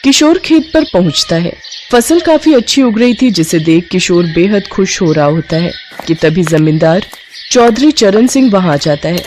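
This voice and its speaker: A woman narrating a cartoon story in a steady, scripted storytelling manner. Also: on the low side, normal speed, slight background noise.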